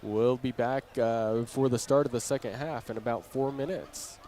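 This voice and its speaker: A man doing an unscripted live halftime recap as a sports announcer, conversational and halting as he reads out stats. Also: mid-range voice, steady tone, mellow. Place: high school game venue, beside fans. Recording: live venue with background noise. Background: faint ambient venue noise.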